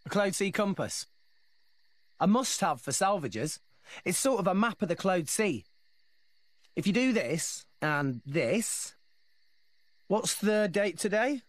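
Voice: mid-range voice, sing-song, slow pace, long pauses, no echo. An actor playing an upbeat teenage boy adventurer in a video game, friendly, eager and plain-spoken. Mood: cheerful explaining. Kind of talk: animated video game acting.

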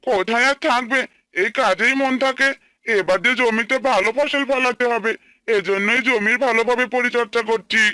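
A man's voice: very high-pitched, moderate pace, sharp tone, clean tone, dry recording. A man voicing an earnest, plaintive, humble farmer and worried husband in a cartoon. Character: anxious humble young farmer and husband, pleading. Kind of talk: Bengali cartoon dialogue dubbing.

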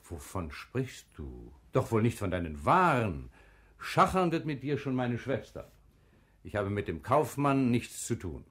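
A man playing a proud, probing sultan in a classical radio drama, with theatrical, deliberate, commanding phrasing. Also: mid-range voice, big pitch swings, a bit bright, husky, heavily acted. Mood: probing, lofty. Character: proud, probing male sultan of crusade-era Jerusalem. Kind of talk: classical stage verse radio drama acting.

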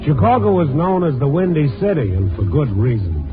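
An actor playing a wry, world-weary night-beat newspaper reporter in a 1950s radio drama, with a terse, hard-boiled delivery. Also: male voice, fairly high pitch, big pitch swings, fairly quick, over music. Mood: brooding. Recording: tinny 1950s radio recording.

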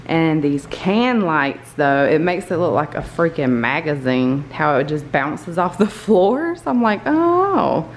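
Female vlogger, middle-aged, informal and unhurried, filming a home walkthrough on handheld camera with slight background noise. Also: medium pitch, a little mellow. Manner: chatty, informal. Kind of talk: casual house tour vlog. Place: inside a furnished house, walking between rooms. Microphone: handheld camera, held by the speaker. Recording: handheld camera audio with faint room noise.

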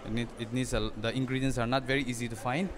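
A young man speaks into a handheld mic in a restaurant, answering a reporter's questions. His voice sits at a middle pitch, with faint room noise behind him. He talks earnestly, often stopping and restarting his sentences. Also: little pitch movement, fast talker. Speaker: restaurant owner. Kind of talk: on-camera news interview. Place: indoor restaurant dining area.

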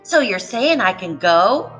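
A woman voicing an eager, spirited young girl in a storybook, with expressive delivery. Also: medium pitch, unhurried, soft background music. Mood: excited, pleading. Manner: pleading, expressive.

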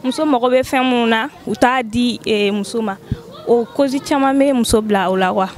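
Calm Malian woman with faint music behind. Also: a little high, normal speed. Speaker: passer-by. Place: street outdoors. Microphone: handheld mic.

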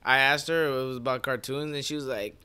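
A man's voice: mid-range voice, fairly even intonation, a little fast, pushed and bright, clear, resonant tone. A young man hosting a casual podcast discussion, speaking in a conversational way. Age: young adult. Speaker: podcast host. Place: small living room, indoors. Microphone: podcast microphone.

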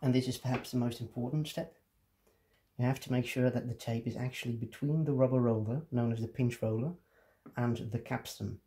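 A man's voice: mid-range voice, fairly even intonation, a little fast, mellow. A man presenting a casual hands-on tutorial, explaining things step by step.

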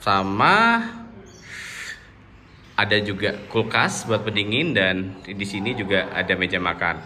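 Bright-voiced man giving a casual tour. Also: lowish voice, animated, a little slow, slight background noise. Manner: friendly, informal, points things out as he walks. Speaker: vlogger touring a cafe and guesthouse. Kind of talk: casual walk-through narration. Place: outdoors and indoors at a mountain cafe and lodging.

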